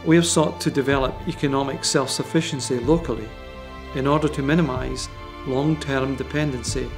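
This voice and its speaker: A man reading a measured, informative scripted voice-over. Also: medium pitch, fairly even intonation. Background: music.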